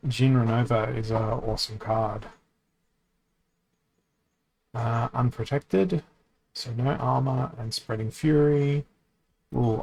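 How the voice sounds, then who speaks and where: A man streaming a card game, thinking aloud as he plays, often correcting himself and pausing to hesitate. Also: medium pitch, darkish tone, low-quality recording. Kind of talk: casual commentary while playing a card game.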